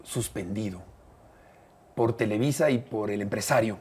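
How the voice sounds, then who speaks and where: A middle-aged man with a Mexican accent, a broadcaster telling a detailed story as an interview guest, measured and hesitant at times. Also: fairly low pitch, a little mellow, slightly rough. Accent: Mexican Spanish. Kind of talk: conversational storytelling.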